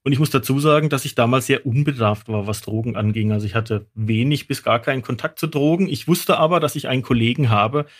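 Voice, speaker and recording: Middle-aged man, medium-pitched, explaining casually. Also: fast talker, hardly any pauses. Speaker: guest, toxicologist. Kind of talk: casual interview talk, explaining and telling anecdotes.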